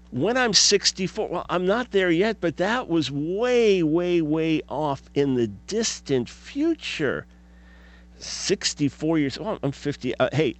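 Emphatic fifties man hosting radio talk. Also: a little high. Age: 50s. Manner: emphatic, full of rhetorical questions. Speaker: radio talk show host. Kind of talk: radio talk show monologue.

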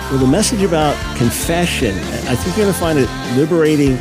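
A man hosting a radio talk show in a studio, friendly and quick with follow-up questions. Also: a little high, lively intonation, loud music behind the voice, poor audio. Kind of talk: radio interview.

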